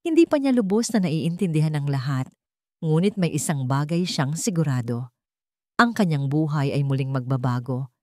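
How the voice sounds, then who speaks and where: A woman's voice: low-pitched, darkish tone, dry recording, clean studio sound. A woman with a Filipino accent narrating a scripted, dramatic audio story.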